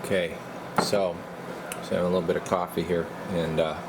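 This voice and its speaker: A man with a low, creaky voice talks casually through repair work. He speaks haltingly, half muttering to himself as he thinks. A clear steady hum of room noise sits behind him. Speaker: radio repair technician and video host. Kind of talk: casual bench commentary while working. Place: indoor radio repair workbench.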